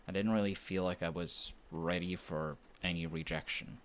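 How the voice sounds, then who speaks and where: Man acting a low-voiced flustered young best friend. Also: fairly even intonation, a little hiss or hum, close to an everyday voice. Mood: sheepish, confessing. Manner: defensive, bickering, halting when shy. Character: young tsundere male best friend, flustered, defensive, secretly smitten. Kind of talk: romantic audio roleplay, intimate one-sided dialogue.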